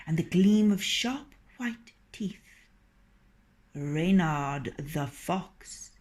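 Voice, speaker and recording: Woman storyteller, children's tale, slow, lively lilting voice, expressive. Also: on the low side.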